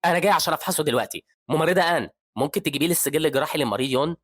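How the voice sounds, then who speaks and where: A cool-headed young doctor hero who lays out the facts steadily, as an Egyptian narrator plays him. His lines come out very quickly. The sound is close and dry. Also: fairly high pitch, breathy edge, dry recording, pitched above the performer's own voice. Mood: steady. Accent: Egyptian Arabic. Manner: steady, matter-of-fact. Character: calm, confident young male doctor hero.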